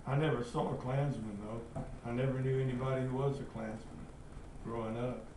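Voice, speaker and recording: An older man from North Georgia gives measured, deliberate memories in an oral history interview. His voice sounds roomy and distant, with a clear hiss in the background. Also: mid-range voice. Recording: echoey room, distant mic, steady hiss.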